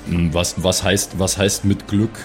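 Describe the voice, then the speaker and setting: A man playing a tabletop role-playing game, improvising in casual, halting, informal chat. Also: low-pitched, flat delivery, faint music behind.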